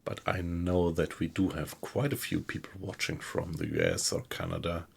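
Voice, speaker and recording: A man with a German accent, chatting with viewers while he plays a submarine game, in a slow, hesitant way. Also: sits low, sing-song, vocal fry, slightly rough. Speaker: game streamer. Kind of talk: casual live-stream commentary. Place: home room at night.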